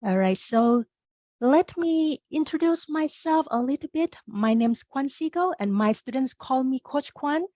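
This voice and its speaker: A woman's voice: high voice, lively intonation, measured pace, dark tone, dry recording, lo-fi sound. A middle-aged woman from Thailand, a career coach presenting a webinar, speaking in an upbeat, encouraging way straight to her audience.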